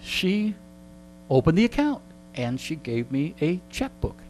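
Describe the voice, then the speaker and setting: A man's voice: a little high, big pitch swings, measured pace, darkish tone, faint music behind, low-quality recording. A middle-aged or older man telling casual anecdotes in a wry, joking, digressive way. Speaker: interview guest and storyteller. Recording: clear background mains hum.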